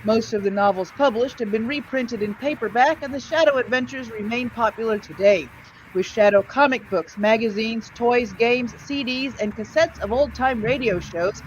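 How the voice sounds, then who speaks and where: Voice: medium pitch, moderate pace, slight background noise. Casual host with a dull, lo-fi mic. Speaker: host of an old-time radio show stream. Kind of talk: casual live hosting and reading aloud.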